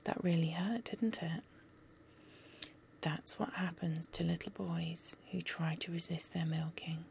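Woman whispering real slow, low and a bit gravelly, soothing but bossy. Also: steady tone, a little mellow, slight background noise. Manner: soothing, coaxing, commanding. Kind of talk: whispered ASMR roleplay.

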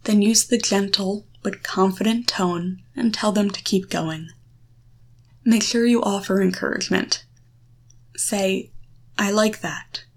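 A woman guiding the listener through a visualization, coaching in short, deliberate phrases with a reassuring, instructive manner. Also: mid-range voice. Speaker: guide leading a guided erotic confidence exercise. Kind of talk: guided visualization, second-person coaching.

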